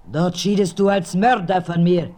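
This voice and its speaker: An actor playing a mysterious avenger who speaks in a hushed, grim, often whispering way in a radio play. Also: male voice, high-pitched, steady tone, moderate pace, close to an everyday voice. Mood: vengeful. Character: mysterious avenger seeking revenge. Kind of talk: 1970s radio play acting.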